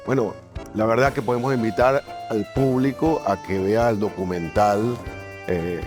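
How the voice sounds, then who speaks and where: A man's voice: lowish voice, measured pace, music in the background. A man hosting a conversational interview, effusive and complimentary toward the guest. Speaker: interviewer and host.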